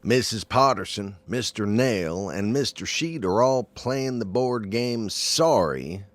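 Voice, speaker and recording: Low-voiced man narrating a drama. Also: expressive, slow, dry recording. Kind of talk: scripted narration of audio drama.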